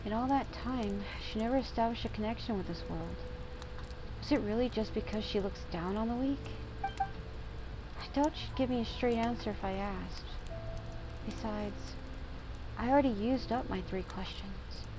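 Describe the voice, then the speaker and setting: Female game streamer, higher register, reading story lines and reacting, music clearly underneath. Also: moderate pace, poor audio.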